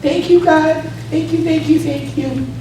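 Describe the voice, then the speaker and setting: A hoarse woman giving an earnest, grateful spoken prayer during a church service. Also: high voice, steady tone, unhurried, dark tone, slight background noise. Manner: earnest, effusive gratitude. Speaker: church member leading a prayer. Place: church sanctuary. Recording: echoey room, distant mic.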